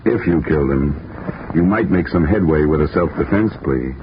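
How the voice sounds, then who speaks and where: An actor playing a hard-boiled insurance investigator, dry and wisecracking, in 1950s radio drama style. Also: male voice, rumbling low, expressive, normal speed, background noise. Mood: dry flirting. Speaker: investigator narrating his case. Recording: muffled old radio recording with music.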